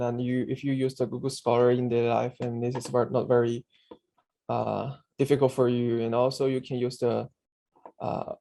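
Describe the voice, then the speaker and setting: Halting man. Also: mid-range voice, creaky, dark tone. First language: Chinese. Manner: halting, many fillers and restarts. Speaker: instructor demonstrating a database. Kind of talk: screen-share tutorial.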